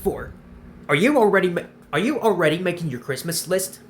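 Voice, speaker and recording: A man's voice: high-pitched, lively intonation, a little fast, a little hiss or hum. A man in his twenties hosting a casual question-and-answer vlog, who often repeats himself and restarts his phrases. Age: 20s. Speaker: channel host answering viewer questions.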